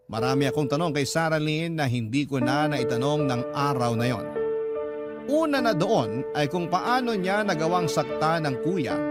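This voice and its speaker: A man narrating a first-person story as a radio drama host, in a scripted storytelling style. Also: fairly high pitch. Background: loud background music.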